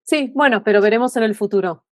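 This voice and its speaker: A woman co-hosting a podcast, chatting casually and backing her partner with quick agreement. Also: fairly high pitch, no echo, studio quality. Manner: encouraging, frequent short agreements. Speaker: podcast co-host. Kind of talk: casual conversation.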